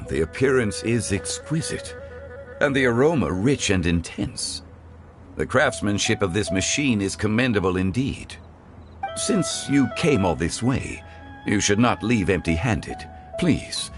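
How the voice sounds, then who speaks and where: An actor playing a calm, scholarly gentleman consultant in a video game, speaking in formal, measured, courtly phrases. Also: fairly low pitch. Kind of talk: scripted video game character dialogue.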